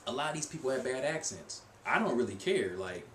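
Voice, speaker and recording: A man's voice: medium pitch, big pitch swings, fairly quick, a bit bright. A young man casually rambling to camera in a vlog, with lots of fillers, restarts and asides. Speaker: language-learning vlogger. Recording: echoey room with faint hiss.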